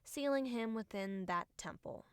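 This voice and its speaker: A woman's voice: on the high side, unhurried, smooth, no echo, lightly acted. A woman playing a serious, strategic young female fighter in an audiobook, explaining things matter-of-factly. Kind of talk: audiobook character acting.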